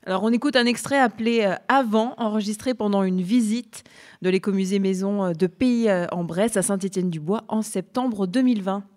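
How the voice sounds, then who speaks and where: A woman's voice: medium pitch, a little fast, a little mellow. A woman presenting a live radio programme. She asks her guests questions and introduces recordings plainly. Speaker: radio host.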